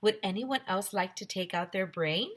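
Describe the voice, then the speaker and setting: A woman's voice: mid-range voice, moderate pace, fairly bright tone, close to an everyday voice. A woman voicing a cheerful, playful clown lady substitute teacher in a children's storybook, with an exclaiming delivery. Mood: cheery, showy.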